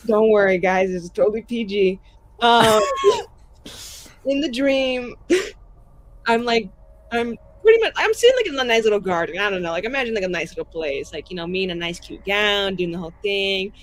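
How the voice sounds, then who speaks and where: A woman's voice: medium pitch, animated, moderate pace. A woman playing a tabletop roleplaying game, talking casually and improvising in a giggly, rambling, playful way.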